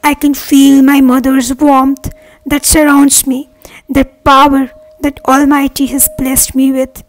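A woman leading a guided meditation with affirmations, speaking earnestly in measured, separate phrases. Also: high-pitched, level tone, low-quality recording. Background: meditation music.

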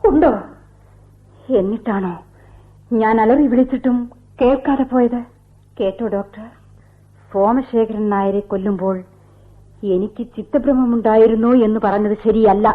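Haunted convict woman, high voice, declamatory radio drama, accusing and anguished, tinny phone-like sound. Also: steady tone, measured pace, pauses often. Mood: intense, confiding. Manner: dramatic, declamatory, swinging from hushed to crying out. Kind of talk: theatrical radio drama acting.

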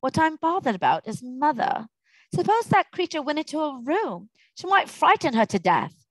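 Storybook young lad, read by a woman: worried, exclamatory, pleading, easily indignant, very expressive pitch. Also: moderate pace, no echo, rough recording.

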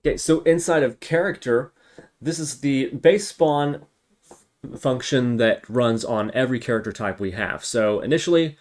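A man's voice: mid-range voice, normal speed. Man talking through a coding tutorial. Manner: step-by-step, thinking aloud while working. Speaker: tutorial instructor. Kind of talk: informal screen-recorded coding tutorial.

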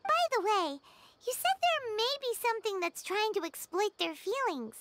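A woman voicing a tiny, excitable floating fairy girl in a video game, squeaky, childlike and emphatic. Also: normal speed, noisy background. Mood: curious.

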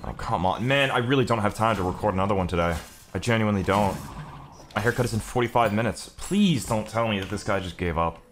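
A man's voice: fairly low pitch, quick pace, noisy background. A man giving casual gameplay commentary as a streamer, griping with exclamations and swearing. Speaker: video game streamer.

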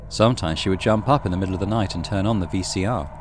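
A man reading a horror visual novel aloud as dramatic, measured narration. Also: sits low, slight background noise. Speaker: gaming channel host reading visual novel. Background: music.

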